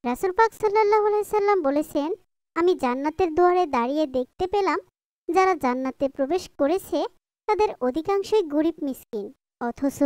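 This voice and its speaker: High-voiced, kind cartoon mother. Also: a little mellow, no echo, studio quality. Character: kind, pious mother patiently teaching her child. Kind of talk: Islamic moral cartoon voice acting.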